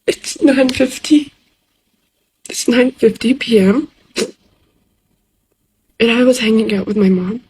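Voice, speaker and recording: A young woman with a Persian accent speaks in a very breathy, husky voice pitched somewhat high. She gives a halting, distressed personal testimony to camera, in short simple sentences. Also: dark tone. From Iran. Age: young adult. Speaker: social media video maker.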